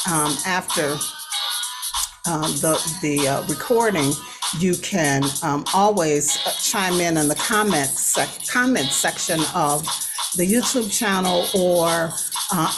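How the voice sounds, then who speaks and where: A woman with a fairly low voice hosts a casual talk show. She speaks conversationally and straight to her listeners, moving between chat and news commentary. Music plays clearly behind her voice. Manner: conversational, addresses audience directly. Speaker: host and producer of an online radio show. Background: music under the voice.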